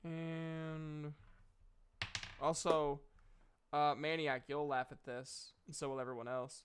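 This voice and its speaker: A man's voice: fairly high pitch, little pitch movement, slow, smooth. A man acting as game master, casually narrating and bantering with players in a jokey, self-deprecating way.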